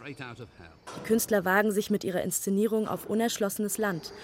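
A woman's voice: medium pitch, expressive, moderate pace, a little hiss or hum. A woman narrating a scripted radio feature with a vivid, listing delivery.

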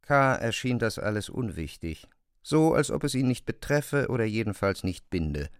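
A man's voice: on the low side, big pitch swings, moderate pace, no room sound, clean studio sound. A man narrating a classic novel as scripted literary prose.

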